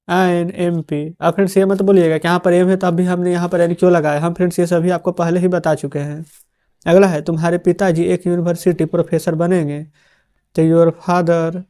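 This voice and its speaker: Slow, mellow-voiced male teacher. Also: high-pitched. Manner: dictates the answers word by word, addresses viewers as friends. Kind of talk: dictating a translation lesson.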